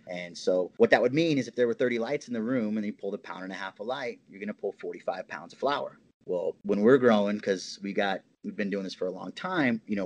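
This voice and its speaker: An American man talking casually and enthusiastically as an interview guest, explaining things in a conversational way. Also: deep voice. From Hawaii, United States. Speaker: interview guest, cannabis grower and entrepreneur.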